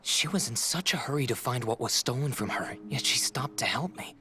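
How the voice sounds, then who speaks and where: A man's voice: fairly high pitch, sing-song, fairly quick, fairly bright tone, breathy edge, heavily acted. A man playing a wisecracking teenage boy in an anime dub, casual and dramatic, given to muttered asides. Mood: subdued, reflective. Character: excitable, wisecracking teenage boy lost in a fantasy world. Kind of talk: English anime dub acting. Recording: music behind the voice.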